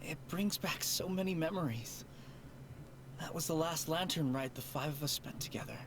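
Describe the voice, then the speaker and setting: An actor playing a gentle, nostalgic grown man in a video game. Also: a little high, normal speed, very noisy, close to an everyday voice.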